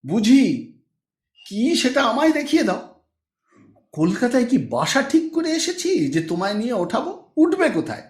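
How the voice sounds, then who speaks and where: A man's voice: very high voice, very animated, measured pace, pitched above the performer's own voice, heavily acted. A man voicing an irritable middle-aged husband, grumbling and sarcastic, in an audiobook story. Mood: mocking exasperation. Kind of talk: audiobook character acting. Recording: echoey room.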